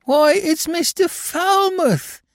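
A man's voice: sits high, big pitch swings, moderate pace, creaky, no room sound, clean studio sound, voice pushed up, full character voice. A man voicing a sullen, cunning pickpocket in an audiobook, with uneducated, colloquial, defiant speech. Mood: astonished, dazed. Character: impudent, sullen, cunning male pickpocket, easily startled.

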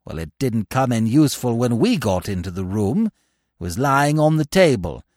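A man voicing an excitable, aggrieved young upper-class English gentleman with an agitated, emphatic delivery. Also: medium pitch, moderate pace, no echo, clean studio sound, voice pushed down.

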